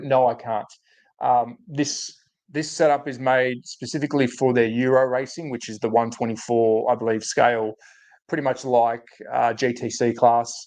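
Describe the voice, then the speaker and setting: An Australian man with a slightly croaky, under-the-weather voice, hosting a casual livestream and thinking aloud as he chats to viewers. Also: mid-range voice, darkish tone. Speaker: host of a hobby livestream. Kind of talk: casual live chat to viewers.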